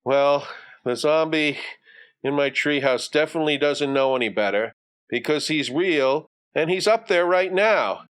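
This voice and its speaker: Man as a glum young storybook boy, sly, mock-innocent, teasing, smooth clear voice, raised pitch. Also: a little slow, fairly bright tone. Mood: exasperated, sly. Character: young boy, glum, slyly daring others. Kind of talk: storybook character voice.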